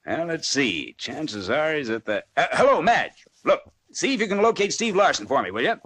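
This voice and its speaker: An actor playing a small-town newspaper editor in a radio serial, reassuring yet evasive and a little condescending. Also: male voice, fairly high pitch, big pitch swings, moderate pace, fairly bright tone, a little hiss or hum. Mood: brisk, hearty. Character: smooth-talking, dismissive male small-town newspaper editor. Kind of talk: 1940s radio serial acting.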